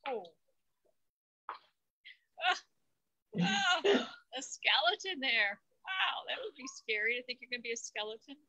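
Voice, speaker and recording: High, bright, very animated woman. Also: a little slow, long pauses, poor audio. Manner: animated, exclaiming reactions. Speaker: story-time co-host reacting to the reading. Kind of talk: casual exclamations and comments for children.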